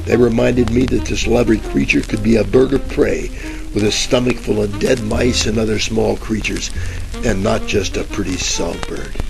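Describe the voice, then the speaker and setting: An actor playing a wisecracking, bumbling private detective in a deadpan 1940s radio noir parody. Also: male voice, fairly low pitch, moderate pace, gravelly. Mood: wry, deadpan. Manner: deadpan, overblown similes. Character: wisecracking hard-boiled male private detective, bumbling and sarcastic. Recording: crackly old-time radio with music underneath.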